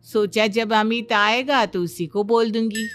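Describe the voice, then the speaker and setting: A woman's voice: a little high, steady tone, unhurried, faint music behind, natural voice. A woman voicing a hospitable middle-aged aunt in a cartoon family story, homely and chatty.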